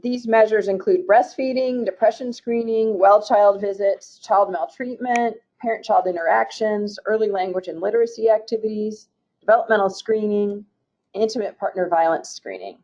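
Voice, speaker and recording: A woman from a state agency presenting slides in a webinar, reading through program goals in a steady, formal way with occasional restarts. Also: medium pitch, moderate pace. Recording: muffled, dull webinar audio.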